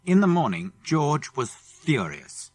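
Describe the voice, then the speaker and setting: Male British audiobook narrator, children's adventure; husky tone, very animated, expressive delivery. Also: a little high, takes it slowly, soft background music. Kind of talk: scripted children's story narration.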